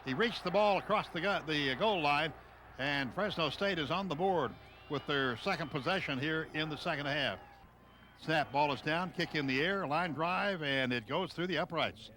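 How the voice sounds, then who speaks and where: A man's voice: on the high side, moderate pace. A man doing live play-by-play of a football game on the radio, describing the action in clipped bursts over a noisy outdoor stadium. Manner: clipped running description, excitable on big plays.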